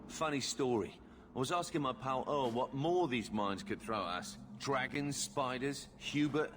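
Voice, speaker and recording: A man playing a gruff, wryly humorous working-class mine foreman in a video game, blunt and colloquial. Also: medium pitch, unhurried, background noise, close to an everyday voice. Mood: wry, rattled. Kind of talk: video game dialogue acting.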